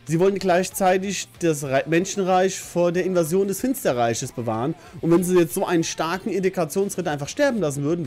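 A middle-aged man with a fairly high voice talks through a story recap like a vlogger at his desk. His delivery is colloquial and chatty, with frequent hesitations as he goes. Music plays faintly behind him. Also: a little mellow. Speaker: host of an anime review channel. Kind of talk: casual spoken episode recap to camera. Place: small home office room. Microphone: desk condenser microphone on a stand.

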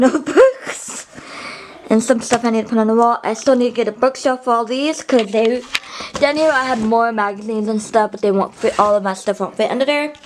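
A woman's voice: fairly high pitch, slight background noise. Chatty young woman vlogging from her bedroom. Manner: rambling, chatty, many fillers and restarts. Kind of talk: casual vlog chat to camera. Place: small bedroom, indoors. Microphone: handheld camera close to the face.

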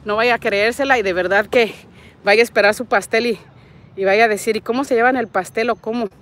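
A woman's voice: a little high, fairly quick, a bit bright. A woman in her forties from Nayarit with a Mexican accent, chatting affectionately on a livestream and reading viewers' comments, recorded outdoors on a phone with an earbud mic. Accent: Mexican Spanish. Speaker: vlogger hosting a livestream. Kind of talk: casual live chat, greeting viewers. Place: outdoors in a parking lot and a street. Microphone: wired earbud mic, phone at arm's length.

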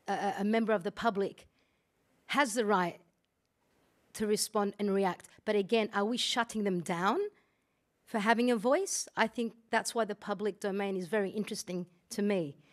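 A woman in the audience making a loud, reflective comment without a microphone. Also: mid-range voice. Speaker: audience member.

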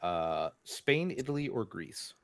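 A man's voice: lowish voice, sing-song, slow pace. A man running a tabletop game on a video call, rambling with fillers and laughing often. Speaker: game master. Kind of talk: casual tabletop game chat. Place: home room on video call.

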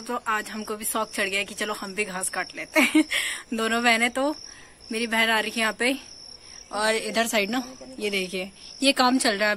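A woman's voice: on the high side, fairly bright tone, a little hiss or hum. Chatty young woman vlogging on a phone. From India. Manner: chatty, narrates what she is doing to the camera. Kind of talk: casual vlog chat. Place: outdoors at home and in a field. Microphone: phone camera.

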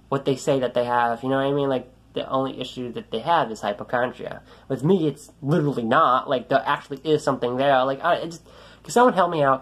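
A young man with a light, youthful voice vents into a phone held at arm's length. He rambles, swears and starts his sentences over. His pitch sits in an ordinary middle range. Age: young adult. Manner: frustrated, rambling, swearing, many restarts. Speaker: vlogger. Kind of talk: ranting selfie vlog. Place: small room indoors, then outdoors on a road.

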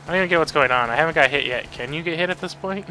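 A man's voice: on the high side, rapid-fire, pushed and bright, a little hiss or hum, low-quality recording. A man giving casual gameplay commentary, reacting with grumbling and swearing as he plays. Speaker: video game player.

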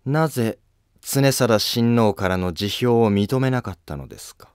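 A man's voice: mid-range voice, normal speed, darkish tone, clear, resonant tone, no echo, clean studio sound, lightly acted. A man playing a wary, imperious older empress dowager who speaks in curt, commanding, archaic phrases. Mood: questioning, stern.

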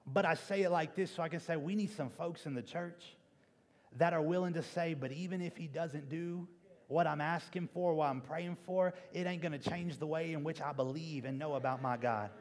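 A man's voice: on the high side, moderate pace. A young man preaching a church sermon emphatically, repeating key phrases for effect. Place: large church stage indoors. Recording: reverberant church auditorium sound.

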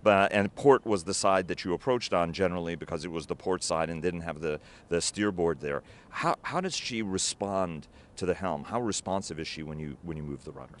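Middle-aged guy interviewer, low voice, long detailed questions, handheld mic outside. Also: animated, fairly quick, hardly any pauses, slight background noise. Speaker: interviewer, editor. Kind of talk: outdoor interview. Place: outdoors on a wooden ship at a city waterfront.

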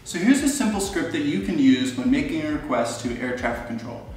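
A man's voice: a little high, animated, brisk, darkish tone. Young american guy doing a tutorial, super echoey and off the mic, bit of noise. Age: 20s. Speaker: student pilot presenting a tutorial. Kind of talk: instructional explanation to camera. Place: indoor living room. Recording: roomy, echoey, distant, faint noise.

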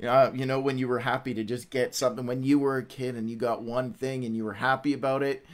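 A middle-aged man hosts a casual livestream. His voice sits at an ordinary pitch. He talks informally and rambles from one aside to the next. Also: level tone, fast. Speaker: host of a Bible read-along livestream.

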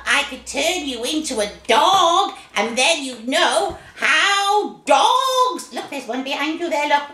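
Naughty storybook witch, very high squeaky voice, teasing singsong cackle, echoey room. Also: animated, slow, pushed and bright, heavily acted. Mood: mischievous. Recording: echoey room with faint noise.

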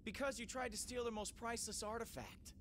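A man's voice: very high voice, lively intonation, a little fast, fairly bright tone, a little hiss or hum. A man playing an exasperated young space-outlaw team leader in a video game, trading wry, casual heroic banter. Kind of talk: animated video game cutscene acting.

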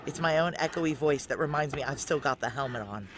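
Actress as hard-edged woman on the run, intense first-person noir sci-fi drama, halting urgency, noisy vehicle ambience. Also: sits low, lightly acted. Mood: anxious. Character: tough, desperate female fugitive narrating a sci-fi heist.

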